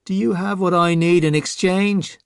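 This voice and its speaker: A man's voice: sits high, fairly even intonation, normal speed, creaky, clean tone, no room sound, pitched above the performer's own voice. A smug, scheming zombie with a reedy, raised voice, vain and a little peevish, bargaining from a dry, close recording, as a male narrator puts him on. He preens, wheedles and snaps.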